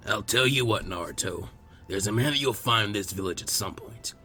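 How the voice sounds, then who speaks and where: A man voicing a calm, kindly old village leader who speaks gravely in a slow, measured way. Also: lowish voice, voice pushed down. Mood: fond, reassuring.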